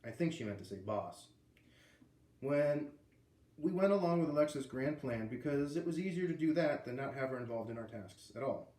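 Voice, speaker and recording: A man's voice: medium pitch, animated, moderate pace, warm tone, echoey room. A man with an American accent reading a children's book aloud, with casual asides. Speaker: read-aloud reader.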